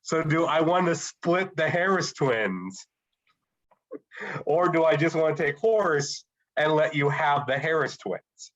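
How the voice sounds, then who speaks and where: A man's voice: on the high side, animated, measured pace, a bit bright, highs cut off. A man co-hosting a casual wrestling podcast, stuffy from a cold and thoughtfully weighing his choices. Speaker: podcast co-host.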